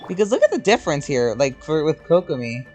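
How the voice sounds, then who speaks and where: A man's voice: on the high side, wildly swooping pitch, brisk, a little mellow. A man giving casual gameplay commentary as a streamer, rambling and reactive, swearing casually. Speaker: game streamer. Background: game music.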